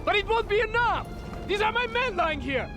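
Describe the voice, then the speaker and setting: A man's voice: very high voice, animated, moderate pace. A man playing a hot-headed, vengeful Soviet army captain with a Russian accent and an intense, insistent way of talking in a video game cutscene. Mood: furious. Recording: loud music behind the voice.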